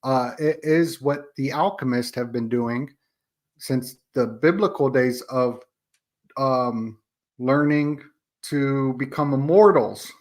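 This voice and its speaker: A man speaks as a panelist in a casual podcast discussion. His pitch sits in the middle for a male voice. He talks loosely and conversationally, linking one thought to the next. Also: slow pace.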